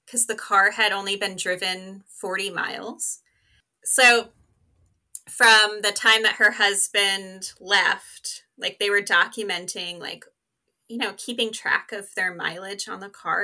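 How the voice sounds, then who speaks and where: A woman with an extremely bright, sharp voice at an average female pitch. She is a podcast guest telling true-crime cases casually, explaining step by step in loose, chatty phrasing. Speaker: podcast co-host as interview guest. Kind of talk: casual conversational case storytelling.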